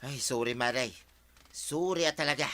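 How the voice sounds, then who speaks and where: A man's voice: mid-range voice, normal speed, pushed and bright, natural voice. A man playing a cheeky, brazen petty thief who turns whiny, in an audio drama, with an Ilocano accent. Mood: whining, pleading. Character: cheeky male tilapia thief, brazen, whining when caught.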